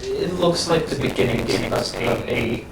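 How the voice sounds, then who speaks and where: A man improvising narration as a tabletop game master, halting and pausing mid-description. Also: mid-range voice. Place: echoey room, live-streamed game session. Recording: roomy, distant mic, noisy background.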